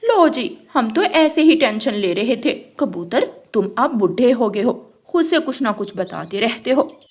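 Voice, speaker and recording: Mocking cartoon crow lady, female actor, haughty, fairly high and rough-edged. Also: big pitch swings, measured pace. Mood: dismissive. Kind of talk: children's animated story voice acting.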